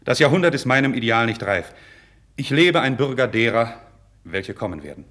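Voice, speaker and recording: A man's voice: medium pitch, expressive, moderate pace, a bit bright, lightly acted. An actor playing an idealistic, proud young nobleman in a classical radio drama, with earnest, declamatory, formal diction. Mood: earnest, restrained. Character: idealistic young nobleman, passionate, proud, candid before his king. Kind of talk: 1950s German radio drama, classical verse acting.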